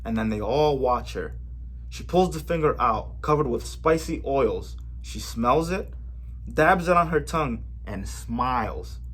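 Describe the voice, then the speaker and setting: A man reading a children's picture book aloud in a gentle, measured way, with an occasional small slip. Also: medium pitch, animated, breathy edge. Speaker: storybook reader for a library.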